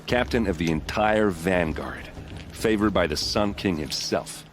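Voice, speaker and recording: A friendly video game warrior with a deep voice. He is plain-spoken and wry, proud of his people and given to folksy boasting. Faint ambient noise sits behind him. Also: measured pace. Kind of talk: game cutscene dialogue acting.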